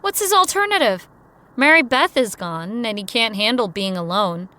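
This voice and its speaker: An actress voicing Kara, a young woman on a road trip, talking in a brisk, teasing, upbeat way. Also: on the high side, animated, sharp tone. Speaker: lead character. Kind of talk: audio drama acting.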